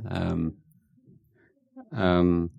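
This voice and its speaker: A man with a very deep, gravelly voice talks off the cuff, pausing on fillers and doubling back on his words. His delivery is frank with a dry, wry edge, and the sound is close and dry with no room echo. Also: monotone, highs cut off. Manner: halting, many fillers and restarts. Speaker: speaker answering audience questions. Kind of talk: unscripted spoken talk with Q&A.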